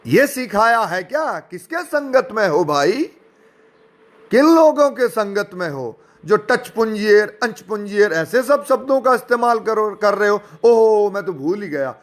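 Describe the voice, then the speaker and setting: Male, notably high voice; informal rebuttal delivered with mockery, indignation and pointed rhetorical questions. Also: animated, measured pace. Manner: sarcastic, mocking. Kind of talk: informal spoken rebuttal, addressing listeners directly.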